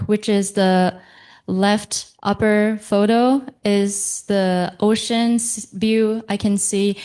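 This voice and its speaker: A young woman from Japan, speaking as a panelist at a conference in a slow, halting way, with fillers and self-corrections. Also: medium pitch, dark tone, clean tone.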